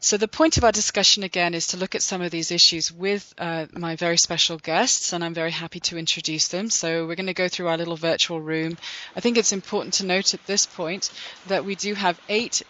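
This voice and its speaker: Podcast host, steady scripted narration, dry, muffled lo-fi call audio. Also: medium pitch, brisk, no echo. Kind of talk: scripted recap then casual introductions.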